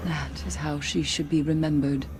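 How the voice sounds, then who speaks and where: An actress playing a solemn, grieving survivor in a video game, haunted by lost friends, speaking deliberately and formally. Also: female voice, low-pitched, level tone, noisy background, lightly acted. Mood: mournful, reflective. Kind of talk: video game cutscene acting.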